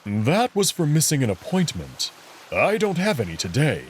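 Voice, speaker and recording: A man voicing a proud, confident young alien wolf prince in a visual novel, friendly but full of hubris, speaking with expressive self-assurance. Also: on the high side, moderate pace, a little hiss or hum. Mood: casual.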